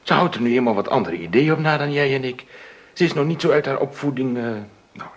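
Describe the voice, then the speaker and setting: An actor playing a possessive, coaxing artist boyfriend in a radio drama, patronizing and teasing in manner. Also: male voice, mid-range voice, quick pace, lightly acted. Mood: placating.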